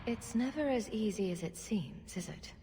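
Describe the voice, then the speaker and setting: A woman's voice: mid-range voice, lively intonation, normal speed, over loud music, lightly acted. A woman voicing a playful, sarcastic young rogue adventurer in a video game, with a wry, teasing manner.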